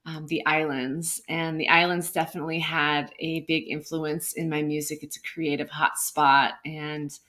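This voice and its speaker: A woman musician from California talking in a gentle, earnest way over a video call, laughing easily. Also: low-pitched, level tone, normal speed, hardly any pauses. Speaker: musician guest. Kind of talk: singing then casual chat. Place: home, at a window with trees outside.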